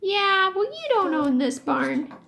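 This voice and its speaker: A woman's voice: very high-pitched, very animated, slow, vocal fry, fairly bright tone, pitched above the performer's own voice, heavily acted. A woman voicing a stubborn, combative schoolboy who goads and pushes, in a children's storybook. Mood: pushy. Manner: pushy, goading. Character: stubborn, combative schoolboy determined to win a bet.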